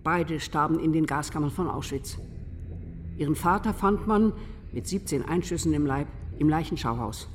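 A woman's voice: deep voice, moderate pace, natural voice. An actress playing a grieving older mother in a radio play, bearing witness and demanding justice in a solemn, declamatory way. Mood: solemn grief. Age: 60s. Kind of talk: radio play testimony and public appeal. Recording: long reverb, loud background noise.

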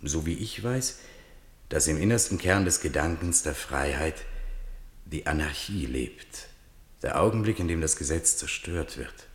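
A man narrating a literary radio play in a solemn, deliberate, declamatory way. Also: extremely low pitch, expressive, roomy sound.

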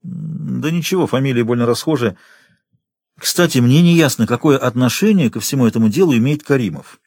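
A narrator's take on a skeptical male newspaper editor, cautious and admonishing. He speaks in a measured, mid-pitched voice, in a dry audiobook recording. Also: animated, a little mellow, dry recording. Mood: probing.

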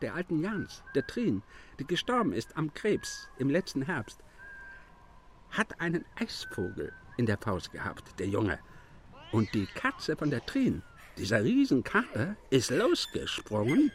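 A sardonic male villager for a radio drama. He tells grim tales in clipped bursts, his pitch leaping dramatically, with faint ambience behind him. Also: fairly bright tone, slight background noise, full character voice. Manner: taunting, clipped, colloquial storytelling. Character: sardonic male villager with a dark eyewitness tale.

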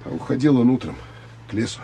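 An actor playing a tough, blunt and weary Soviet counterintelligence officer in a Russian radio drama, talking in a terse, gruff way. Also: male voice, medium pitch, animated, fast, background noise. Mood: reporting firmly.

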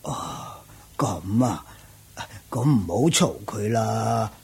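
An actor playing a kindly, courteous elder in a radio drama, speaking considerately and without hurry. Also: male voice, fairly low pitch, expressive, creaky, a little mellow, slight background noise, heavily acted. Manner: unhurried, courteous. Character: kindly, courteous male elder visiting with his family. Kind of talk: Cantonese radio drama acting.

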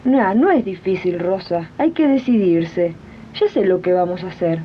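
A woman's voice: expressive, normal speed, slight background noise, lightly acted. A woman playing a spirited, supportive domestic worker in a 1980s community radio drama, chatty and emphatic, with an Argentine accent. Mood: encouraging, firm. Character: spirited working-class domestic worker, supportive union friend. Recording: muffled, rumbly 1980s archive radio recording.

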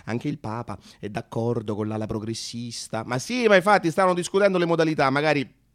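A man performing a theatrical radio monologue, conversational and halting, repeating phrases and swinging from hushed to excited. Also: medium pitch. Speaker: solo narrator-actor.

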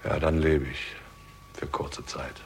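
An actor playing a vain, hollow stage actor who puts on nonchalance in a 1960s German crime radio drama. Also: male voice, flat delivery, takes it slowly. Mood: resigned, hollow. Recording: vintage radio recording, noise, rumble.

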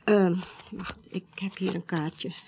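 Hesitant, mellow-voiced widow. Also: female voice, lowish voice, fairly even intonation, vocal fry, a little hiss or hum. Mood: polite. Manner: hesitant, halting, polite. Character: estranged widow, reserved but insistent, seeking answers. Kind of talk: naturalistic Dutch radio drama acting.

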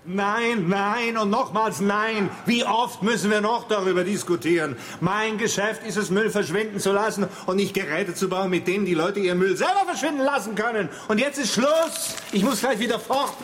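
An actor playing a stubborn, irascible company boss in a radio drama, blunt, scornful and emphatic. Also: male voice, high-pitched, moderate pace, few pauses, a bit bright. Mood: angry, scornful. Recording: noticeable background ambience noise.

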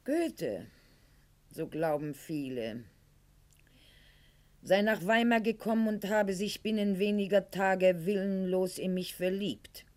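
Actress as a slow-speaking, theatrical, proud court lady. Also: medium pitch, darkish tone, close to an everyday voice. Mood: wounded, confessional. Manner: articulate, declamatory, ironic, with weighted pauses. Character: proud, wounded middle-aged aristocratic court lady of classical Weimar. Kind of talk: theatrical radio play monologue.

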